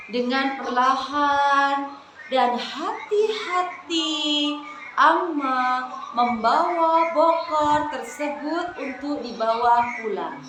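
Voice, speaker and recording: A woman tells a folk tale to young listeners, often turning to them as friends. Her voice is high and her pace slow. She sounds like she is in an echoey room with steady noise behind her. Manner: addresses listeners as friends, expressive. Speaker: storyteller for children. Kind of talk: children's storytelling.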